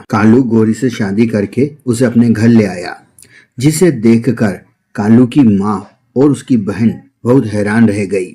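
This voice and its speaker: Mid-pitched male cartoon story narrator. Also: mellow, breathy edge.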